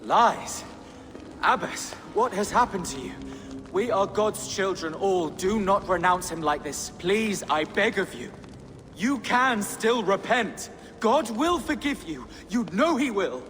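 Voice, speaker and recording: An actor playing a pious, frightened priest in a video game, exclaiming and pleading in horror. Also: male voice, high voice, lively intonation, slow, fairly bright tone, faint music behind, heavily acted. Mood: pleading, appalled. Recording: long hall reverb, faint background noise.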